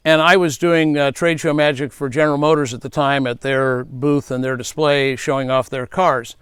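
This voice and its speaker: A man's voice: mid-range voice, fairly even intonation, moderate pace, fairly bright tone, clean tone, no echo, clean studio sound. Earnest man giving safety speaking tips. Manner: earnest, advising, storytelling. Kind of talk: speaking tip to camera.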